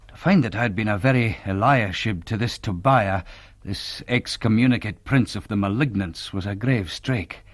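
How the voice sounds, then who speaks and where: An actor playing a troubled, earnest young Scottish minister, narrating a radio drama in formal, literary phrases. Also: male voice, lowish voice, no echo, lightly acted.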